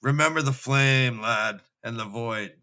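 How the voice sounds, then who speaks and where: A man's voice: medium pitch, measured pace, sharp tone. A man voicing an easygoing older father from a fantasy story, with laid-back, folksy, slangy talk. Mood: easygoing, reassuring.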